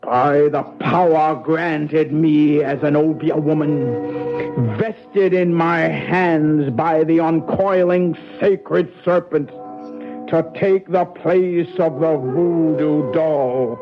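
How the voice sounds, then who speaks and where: An actress playing a stern, vengeful older sorceress in a radio drama, with a solemn, incantatory, theatrical delivery. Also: female voice, deep voice, takes it slowly, faint music behind. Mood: cold, vengeful. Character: stern, vengeful older woman sorceress, a countess and devoted aunt. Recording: dull lo-fi old radio broadcast.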